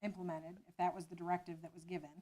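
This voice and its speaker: A woman's voice: on the low side, level tone, very fast, mellow. A woman who presented to a school board, answering its questions carefully and explaining as she goes.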